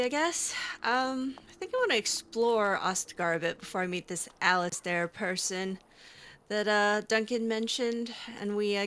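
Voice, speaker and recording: A woman with a bright voice gives casual in-character game commentary. Her pitch moves around a lot as she drops sarcastic, skeptical asides while roleplaying. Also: a little hiss or hum. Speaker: video game player roleplaying as a mage character.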